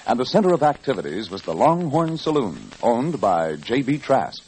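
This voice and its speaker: A man's voice: medium pitch, very animated, moderate pace, darkish tone, rough recording. A man narrating a Western serial as a dramatic old-time radio announcer. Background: music.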